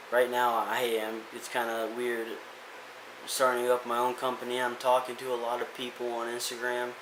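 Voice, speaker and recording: A young man giving a casual, rambling motivational rant as a vlogger, earnest and full of restarts. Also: mid-range voice. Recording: echoey room with hiss.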